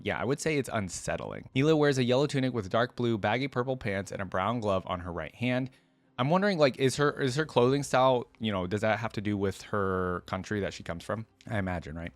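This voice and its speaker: A man's voice: fairly low pitch, no room sound. A man hosting a reaction video, casually reading aloud and musing with his own commentary.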